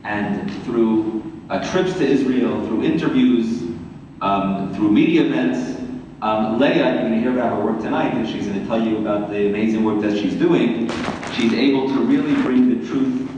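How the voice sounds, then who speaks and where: Man, Queens New York accent, lowish voice, event introduction speech, halting phrasing, faint background noise. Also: darkish tone, muffled recording. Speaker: rabbi introducing speakers. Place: large reverberant room, community event. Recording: very echoey hall, distant mic, faint noise.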